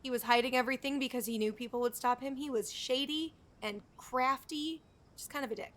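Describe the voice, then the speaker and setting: A woman's voice: high-pitched, brisk, fairly bright tone. A woman doing casual, chatty live commentary as she streams a video game, reacting informally to the story. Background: game music.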